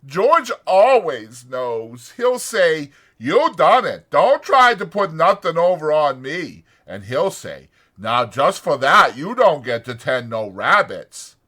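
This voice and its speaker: Slow, childlike big simple man. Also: a little high, very animated, sharp tone, voice pushed up, heavily acted. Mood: sorrowful. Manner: slow, plaintive, folksy uneducated speech. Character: huge childlike simple-minded man, sorrowful and easily angered. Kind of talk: dramatized audiobook character acting.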